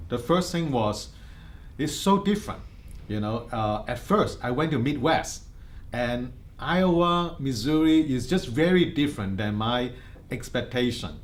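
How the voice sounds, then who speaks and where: Middle-aged man, Hong Kong accent, interview subject, earnest, halting, filler-heavy, mid pitch, faint hiss behind. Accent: Hong Kong Cantonese. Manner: earnest, many fillers and restarts. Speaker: insurance agency head. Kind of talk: interview life story.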